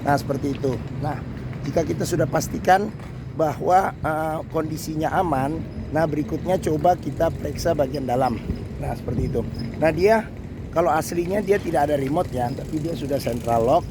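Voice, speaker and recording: A hoarse man with a slightly high voice walks around a car, explaining each point slowly and stopping often to search for words. He is recorded outdoors on a camera, with clear street and vehicle noise behind him. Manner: slow, halting delivery with many fillers. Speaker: used-car dealer host. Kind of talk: walkaround car review with buying tips.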